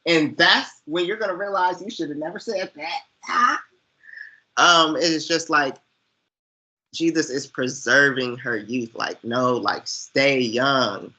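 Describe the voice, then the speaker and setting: Informal American co-host with a sharp, bright tone and mid pitch, rambling through casual film talk. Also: slow pace, rough recording. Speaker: podcast co-host reviewing a film.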